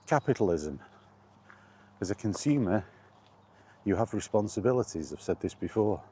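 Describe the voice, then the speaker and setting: A man's voice: on the low side, lively intonation, moderate pace, dark tone, slightly rough. A British man giving a rambling, casual vlog chat in a blunt, opinionated manner. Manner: opinionated, blunt, mildly sweary. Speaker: video blogger.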